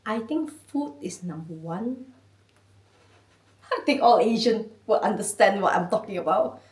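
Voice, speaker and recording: A woman from Malaysia with a Malaysian accent, chatting as a guest in an interview, animated and often laughing. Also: medium pitch, a little slow. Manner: animated, laughing, chatty with many "you know"s. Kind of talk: casual interview. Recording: echoey room, mic at a distance.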